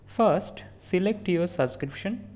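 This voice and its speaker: An instructor talks the listener through a software setup in a plain, mid-pitched voice. The delivery is methodical, step after step, with the odd quick self-correction. It is a voice-over recorded apart from the screen capture. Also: expressive. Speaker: tutorial instructor.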